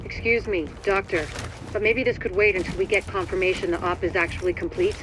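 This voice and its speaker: Quick, clipped woman commander, acted. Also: fairly low pitch, over music, lightly acted. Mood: firm. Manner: clipped, matter-of-fact, authoritative. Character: composed, pragmatic female operations commander. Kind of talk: video game voice acting.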